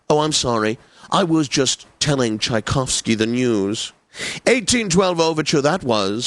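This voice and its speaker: An actor playing a pompous, genteel classical radio DJ in a video game, announcing in a precise and theatrically obliging manner. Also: male voice, mid-range voice, no room sound, lightly acted. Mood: wry, chatty. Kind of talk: scripted radio continuity announcing.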